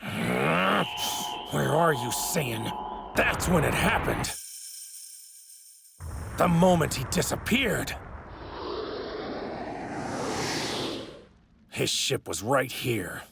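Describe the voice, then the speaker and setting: An actor in an English anime dub playing an arrogant, sadistic god-like villain with a haughty, theatrical delivery and a gravelly, sharp-edged voice. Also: male voice, a little high, very animated, slow, long pauses, exaggerated voice. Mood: brooding, puzzled. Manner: haughty, theatrical, savoring his words. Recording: ripped anime audio with faint noise.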